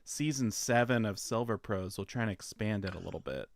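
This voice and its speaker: A man's voice: lowish voice, brisk, creaky, dry recording. A man hosting a live stream, chatting casually in an easygoing way. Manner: easygoing, hesitant restarts. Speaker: host.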